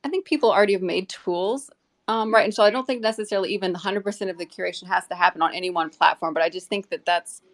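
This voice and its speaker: A woman's voice: medium pitch, fast. A woman talking in a casual, earnest and rambling way in a live audio discussion.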